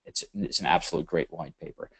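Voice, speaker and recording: A man from the Netherlands with a Dutch accent, presenting a technical webinar over slides and speaking haltingly, with many fillers and repeated words. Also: deep voice, creaky, slightly rough. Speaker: webinar presenter, systems engineering lead.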